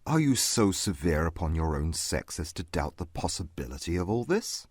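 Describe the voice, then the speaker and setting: A man playing a proud, reserved wealthy young gentleman in a dramatized audiobook, formal and grave. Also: low voice, very animated, moderate pace, husky, no echo, heavily acted. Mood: grave, critical.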